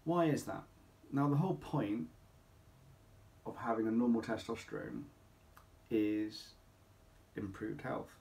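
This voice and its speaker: A middle-aged man speaking as a clinic doctor, explaining to camera in an emphatic, deliberate way with rhetorical questions. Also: lowish voice, very animated, creaky, a little mellow. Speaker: doctor at a men's health clinic. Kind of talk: informal explainer talk to camera. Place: small home office or consulting room, indoors.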